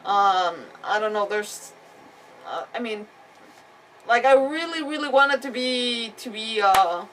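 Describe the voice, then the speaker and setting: A woman doing casual livestream game commentary, rambling, expressive and often interrupting herself. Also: on the high side, slow, far from the mic, slight background noise, rough recording.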